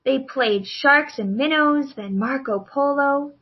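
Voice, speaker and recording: A woman's voice: sits high, slow pace, highs cut off. A woman narrating a children's storybook as a scripted read-along.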